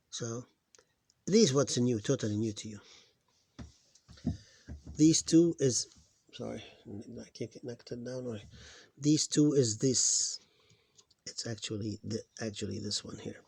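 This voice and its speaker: A man's voice: mid-range voice, a little mellow, breathy edge, no echo. A man teaching a phonics lesson, patiently spelling out syllables and correcting himself, his voice tiring. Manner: patient, repeats sounds slowly, self-correcting. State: voice getting tired. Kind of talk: phonics lesson over on-screen writing.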